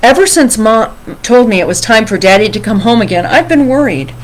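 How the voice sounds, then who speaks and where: A woman voicing an anxious, excitable little boy in a children's storybook reading. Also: fairly high pitch, a little fast, slight background noise, voice pushed up, rough recording. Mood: anxious, hopeful.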